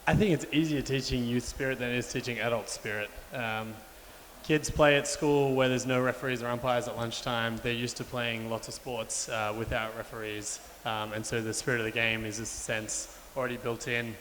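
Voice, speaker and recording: A young man coaching youth teams, speaking on a panel in a relaxed way with many "um"s. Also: medium pitch, moderate pace. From Australia. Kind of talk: panel discussion. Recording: reverberant hall with faint noise.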